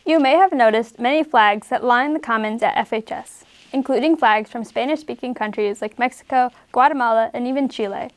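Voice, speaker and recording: A teenage girl with a fairly high voice reads student news in a steady, earnest anchor style. Her voice sounds close and dry, with no room around it. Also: fairly quick, dry recording. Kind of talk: scripted news reading.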